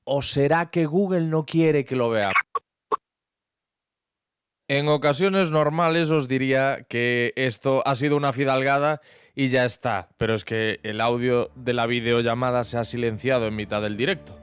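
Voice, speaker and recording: A man's voice: medium pitch, normal speed. A man talking casually as a podcaster.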